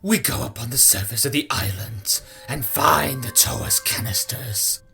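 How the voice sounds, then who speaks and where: An actor playing a stern, scheming villain gang leader in an animated fan dub, cold and commanding. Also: medium pitch, measured pace, gravelly. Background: music.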